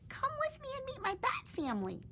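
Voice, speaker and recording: A woman voicing a shy, earnest young female fruit bat in a high childlike voice. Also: wildly swooping pitch, fairly quick, sharp tone, slight background noise, heavily acted. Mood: excited.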